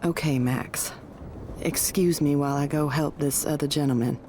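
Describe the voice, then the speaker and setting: Woman acting a low-voiced motherly American woman. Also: fairly even intonation, normal speed, a little mellow, a little hiss or hum, close to an everyday voice. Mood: brisk. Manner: motherly, no-nonsense. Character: motherly, bossy, caring middle-aged diner woman.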